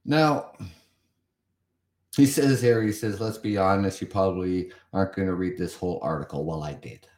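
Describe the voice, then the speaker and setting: Man, from canada, podcast host, deep voice, rambling commentary, echoey room. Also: moderate pace, darkish tone. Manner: chatty, repeats himself, comments on what he reads. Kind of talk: solo podcast talk reading an article.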